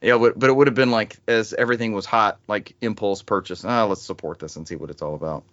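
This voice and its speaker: A man's voice: lowish voice, quick pace, a bit bright, no room sound, dull audio. A man hosting a casual gaming news chat in a joking, sometimes profane way. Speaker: podcast host.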